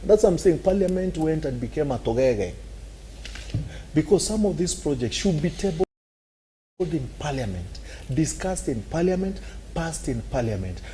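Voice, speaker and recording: A man's voice: on the high side, big pitch swings, moderate pace, mellow, a little hiss or hum. A man with a Kenyan accent, arguing animatedly on a talk show and acting out scenes with sound effects. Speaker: political commentator.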